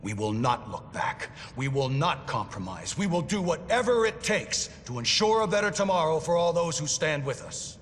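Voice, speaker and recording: A man's voice: big pitch swings, normal speed, hardly any pauses, a bit bright. An actor playing a zealous, authoritarian militia commander giving a stern, grave, oratorical speech to his soldiers in a game. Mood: fierce resolve. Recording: long hall reverb, faint rumbling noise.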